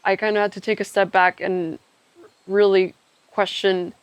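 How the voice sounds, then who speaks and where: A woman's voice: mid-range voice, level tone, normal speed, clean tone, no echo. Young woman, mid-range voice, candid reflective podcast conversation, trails into asides, dry sound. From Ecuador. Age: young adult. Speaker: podcast co-host telling their own story.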